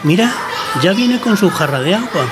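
A woman's voice: medium pitch, animated, moderate pace, a crowd in the background, background noise, close to an everyday voice. A woman playing a mocking, mischievous school pupil in an audio drama. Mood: mocking, rowdy. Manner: teasing, exclamatory. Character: mischievous, mocking pupil, rowdy class joker.

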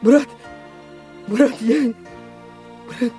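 An actor in an audio drama playing an unstable, panicky younger brother who stammers and repeats himself frantically. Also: male voice, very high-pitched, very slow, music in the background, heavily acted. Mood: horrified remorse.

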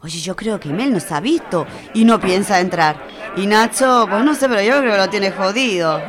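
A woman's voice: medium pitch, normal speed, a crowd in the background, natural voice. A woman playing a nosy, chatty gossip at a bar table in an amateur radio soap. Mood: curious, gossipy. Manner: colloquial, chatty.

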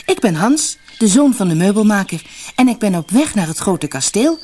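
Woman voicing a cheerful, eager young lad, lively. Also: mid-range voice, moderate pace, slight background noise, voice pushed up, lightly acted. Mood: joyful, exuberant. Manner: exclaiming, eager. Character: cheerful, kind-hearted young furniture maker's son. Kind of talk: fairy-tale audiobook character voice.

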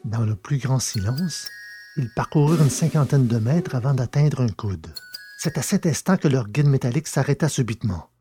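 A man's voice: mid-range voice, animated, normal speed, music in the background. A man reading a scripted science-fiction story as its narrator.

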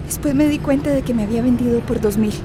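An earnest, naive teenage girl played by an actress in a radio serial. Her high, youthful voice is expressive, with a Central American accent, and faint noise sits behind it. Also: fast talker, natural voice. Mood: distraught. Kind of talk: radio drama acting.

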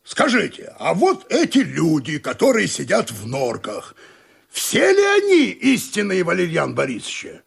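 A husky, gravelly sea captain. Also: male voice, high-pitched, wildly swooping pitch, moderate pace, full character voice. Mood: wry. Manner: knowing, dryly ironic, commanding. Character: wry, shrewd male ship captain. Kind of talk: radio play acting.

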